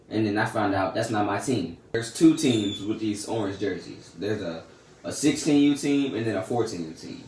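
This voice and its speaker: A teenage boy casually telling a story about his day in slangy, laid-back talk that often trails off soft and quiet. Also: lowish voice, far from the mic. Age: teens. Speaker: vlogger. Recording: echoey room, a bit off-mic, faint noise.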